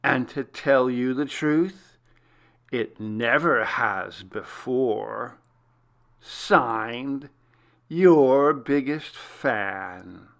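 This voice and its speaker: A man voicing a menacing, gloating serial killer in a horror story, taunting with slow, drawn-out mock affection. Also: mid-range voice, lively intonation, gravelly, exaggerated voice. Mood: taunting, sinister. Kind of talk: dramatic horror story reading.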